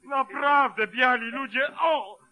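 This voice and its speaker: Terrified man wailing, very high. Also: slow pace, bright, forceful tone, full character voice, poor audio. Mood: terrified pleading. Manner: wailing, pleading, moaning. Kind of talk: 1940s Polish radio drama acting.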